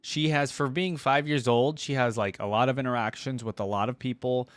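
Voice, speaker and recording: A man's voice: mid-range voice, fairly even intonation, brisk, clean tone, no room sound. A man hosting a reaction video, commenting casually in an informal, slangy, rambling way.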